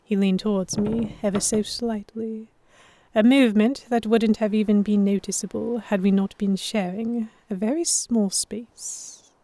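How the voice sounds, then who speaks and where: A woman's voice: medium pitch, steady tone, measured pace, dark tone. A woman narrating an audio drama in the first person and acting out the dialogue. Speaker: narrator and lead actor.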